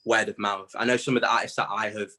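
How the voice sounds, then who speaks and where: A man's voice: fairly low pitch, little pitch movement, quick pace, pushed and bright. A British man in his late teens speaking as a musician in a casual interview, candid and self-reflective, with plenty of slang.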